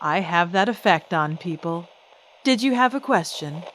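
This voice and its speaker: A woman's voice: on the low side, animated, normal speed, a bit bright, a little hiss or hum, rough recording. A woman playing a grand celebrity singer, coy and theatrical, in an old-time radio drama style. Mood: haughty charm. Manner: theatrical, coy, condescendingly sweet.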